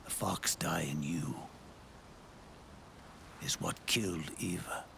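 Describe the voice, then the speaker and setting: Dying old game soldier: extremely gravelly, slow and halting, weary, bitter. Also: male voice, low-pitched, very noisy, full character voice. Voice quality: gravelly, breathy rasp. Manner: slow, halting, labored delivery. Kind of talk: dramatic video game cutscene acting.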